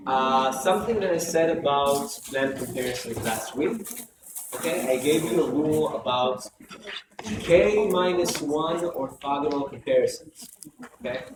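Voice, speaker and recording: A hoarse male lecturer teaching a class, repeating key points and often checking in with "okay?". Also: a little high, animated, unhurried. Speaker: university lecturer. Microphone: close microphone. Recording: echoey classroom from far off, noisy.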